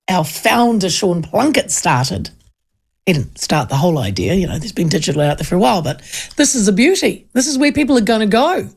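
Kiwi woman, talkback host, gravelly voice, chatty and self-mocking. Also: fairly low pitch, a little mellow. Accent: New Zealand. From New Zealand. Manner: chatty, self-deprecating, laughs often. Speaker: talk radio host. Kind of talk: casual talkback radio chat reading texts. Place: radio studio. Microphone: studio microphone.

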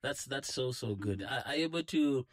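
Upbeat Zambian radio host, dry studio sound. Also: male voice, mid-range voice, lively intonation, moderate pace, dry recording. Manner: upbeat, playful, affirming with frequent hums. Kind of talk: live radio interview.

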